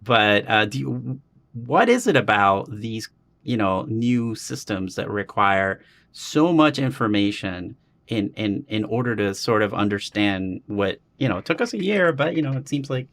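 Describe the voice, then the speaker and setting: A man hosts a relaxed interview, his voice sitting somewhat low. He asks long, winding questions and drifts off on small digressions before getting back on track. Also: a little slow. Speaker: podcast host.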